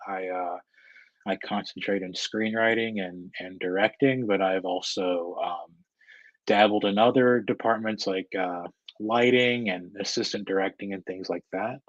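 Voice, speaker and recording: A man in his thirties with an American accent and a lowish voice talks casually as an interview guest. He is hesitant and candid, thinking as he goes. The sound is close and dry but a little dull and lo-fi. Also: fairly even intonation, unhurried, dry recording. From Georgia, United States. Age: 30s. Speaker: guest, freelance screenwriter and director.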